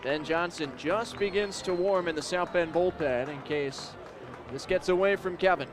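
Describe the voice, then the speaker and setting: An American man doing live radio play-by-play sports commentary, in clipped phrases that build to excited shouts. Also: high voice, normal speed. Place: sports venue broadcast position. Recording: loud arena and crowd noise behind. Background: crowd and venue noise.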